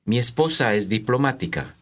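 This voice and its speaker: A man reading scripted language-course dialogue, enunciating slowly and carefully for learners. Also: mid-range voice, lively intonation, a bit bright.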